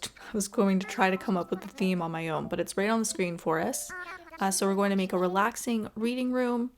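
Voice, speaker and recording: Woman chatting casually over background music. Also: medium pitch. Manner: friendly, addresses viewers directly. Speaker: gaming video host. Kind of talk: casual chatty game commentary.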